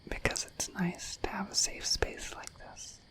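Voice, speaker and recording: Woman as a softly whispering, shy dorky dragon. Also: measured pace, lightly acted. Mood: shy, sincere. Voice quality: breathy whisper. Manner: gentle, hesitant, slightly bashful, intimate. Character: shy, affectionate, dorky young female dragon, gentle friend. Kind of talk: ASMR roleplay, soft whispering to the listener.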